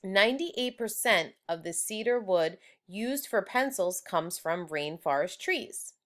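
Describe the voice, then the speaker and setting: A woman's voice: medium pitch, expressive, a little slow, natural voice. A woman voicing an earnest adult male schoolteacher from a children's storybook, instructive and emphatic.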